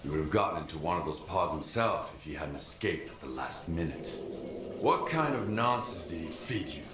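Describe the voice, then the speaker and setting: A man acting an embittered, defiant loner in a video game cutscene, speaking in a measured, cynical, scornful way. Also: a bass voice, expressive, noisy background. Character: embittered, defiant male loner of a forbidden zone. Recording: roomy, echoing game audio.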